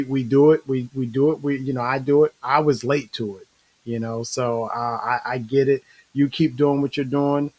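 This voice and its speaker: A man's voice: mid-range voice, poor audio. Easygoing male host on a video call. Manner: loose and conversational, says bro and you know. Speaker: online show host. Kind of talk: casual interview hosting.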